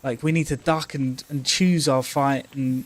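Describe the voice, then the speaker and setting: A man's voice: mid-range voice, steady tone, measured pace. A man co-hosting a casual gaming livestream, thinking aloud in a rambling, sweary way. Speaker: game streamer co-host.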